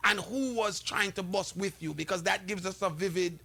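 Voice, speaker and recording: High, bright male interview host. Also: normal speed, gravelly. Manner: steering, asks for detail. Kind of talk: interview questions.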